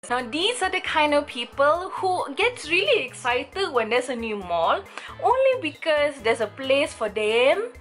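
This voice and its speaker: A woman's voice: high-pitched, a little slow, faint music behind. A young woman from Sabah with a Malaysian English accent, doing a comedic vlog in a small bedroom and talking to a close camera. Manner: expressive, animated, switching into Malay. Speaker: vlogger.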